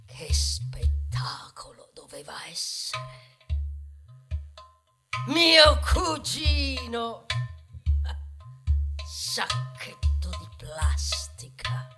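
A woman playing a vain, melodramatic plastic bag in a theatrical monologue, grandiose and lamenting, with dramatic pauses and swings into whispers. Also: fairly high pitch, wildly swooping pitch, heavily acted. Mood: boastful awe. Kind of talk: theatrical monologue in a stage ballad. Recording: music under the voice. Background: music.

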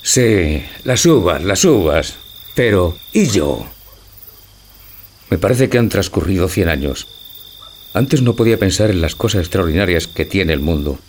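An actor playing a gentle, dreamy old husband who schemes, declaiming tenderly in a theatrical audio drama. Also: male voice, low voice, animated, a little slow, slight background noise. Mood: dreamy, wistful. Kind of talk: theatrical radio drama acting.

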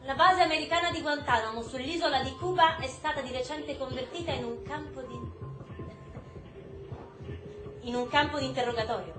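Actress as high-voiced indignant woman investigator, roomy echo. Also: fast talker, close to an everyday voice. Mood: focused, muttering. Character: skeptical woman researcher probing prison records, indignant. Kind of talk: stage monologue in a radio broadcast play. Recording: roomy echo with music underneath.

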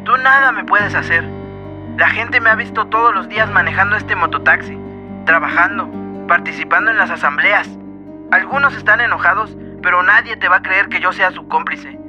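A man playing a defiant accomplice in a radio drama. His voice is high for a man and insistent, with clear guitar music underneath. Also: moderate pace, dull audio, natural voice. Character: defiant mototaxi driver, a crime boss's weary accomplice.

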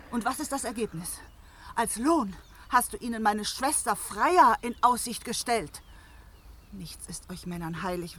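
An actress playing a jealous, sharp-tongued goddess wife who scolds reproachfully in a German fantasy radio drama. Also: female voice, mid-range voice, husky. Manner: reproachful, biting.

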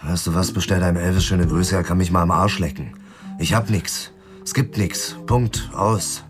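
An actor playing a weary, broke, hard-boiled private investigator in a German radio drama, talking in a dry, colloquial, sardonic way. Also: male voice, low voice, fairly even intonation, moderate pace, music in the background, natural voice. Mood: exasperated.

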